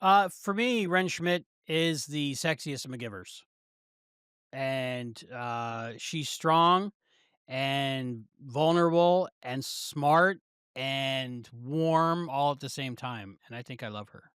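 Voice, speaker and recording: A man co-hosting a podcast, chatting casually in a loose, halting, jokey way. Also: mid-range voice, fairly bright tone, clean tone, no echo, studio quality. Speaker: podcast co-host. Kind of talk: casual review chat.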